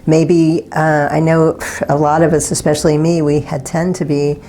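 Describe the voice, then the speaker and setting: A woman giving a pick-a-card tarot reading in a soft, unhurried and gently reassuring way. Her voice is slightly raspy, and she often pauses to search for words. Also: low-pitched, level tone, slight background noise. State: slightly raspy from not talking all day.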